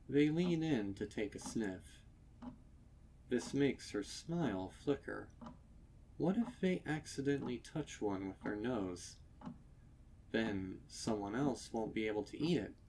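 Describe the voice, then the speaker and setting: A man reading a story as narrator in a dramatic, measured and suspenseful manner. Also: lowish voice, darkish tone, slight background noise.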